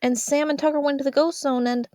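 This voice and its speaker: A woman's voice: high voice, steady tone, fast, darkish tone, no echo, clean studio sound, voice pushed up, natural voice. A woman voicing an anxious, caring teenage sister in a story, urgent and fretful as she scolds. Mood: worried, urgent.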